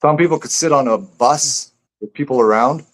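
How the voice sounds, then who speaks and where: A man's voice: mid-range voice, moderate pace. A man speaking as a guest author in a casual live interview, reflective and rambling, repeating phrases as he thinks aloud.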